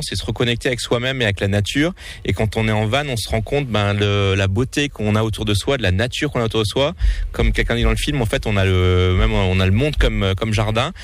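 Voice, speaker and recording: A man with a low voice answers questions as a guest filmmaker. He explains earnestly and often restarts mid-sentence to find the right words. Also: no echo.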